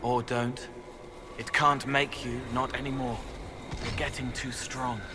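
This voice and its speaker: An actor playing a gentle, odd young male spirit in a video game, soft-spoken, talking in disjointed, cryptic phrases. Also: medium pitch, flat delivery, unhurried, heavy background noise, lightly acted. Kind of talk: video game character acting.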